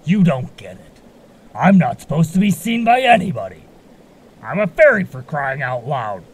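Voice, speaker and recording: A flustered, touchy little male fairy of the marsh, acted by a man. The voice is raised and rough-edged, swooping with indignation in audio drama style. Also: fairly high pitch, very animated, normal speed. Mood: flustered, indignant.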